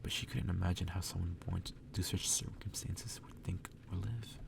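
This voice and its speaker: A man reading a story aloud in a hushed, unhurried, breathy ASMR whisper. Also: low-pitched, fairly even intonation. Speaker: reader. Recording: distant mic with clear background noise.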